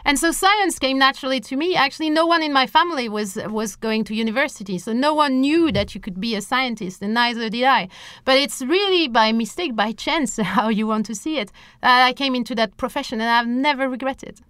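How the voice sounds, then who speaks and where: A woman speaks. Her voice is bright and fairly high, and she explains things emphatically, piling up lists. The sound is clean and dry. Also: fairly quick, smooth, dry recording. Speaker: interview guest, scientist and science outreach organiser. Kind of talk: enthusiastic interview explanation.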